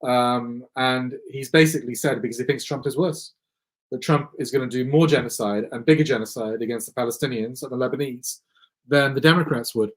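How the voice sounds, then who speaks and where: A man's voice: mid-range voice, brisk. A man hosting a live election-night stream, hesitant with many ums, losing his voice a little. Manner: hesitant, frequent ums and restarts. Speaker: livestream host. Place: studio.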